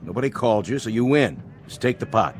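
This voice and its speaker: An actor playing a cool, shrewd card player with a hidden soft streak, talking in clipped, casual lines in a vintage television drama. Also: male voice, fairly low pitch, sing-song, quick pace. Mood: easy, smooth. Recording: old TV soundtrack with music underneath.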